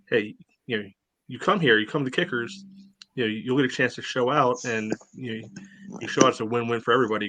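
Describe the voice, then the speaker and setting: A man's voice: on the low side, sharp tone, poor audio. A man co-hosting a casual sports podcast, rambling and leaning on filler phrases. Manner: rambling, many "you know" fillers. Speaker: podcast co-host.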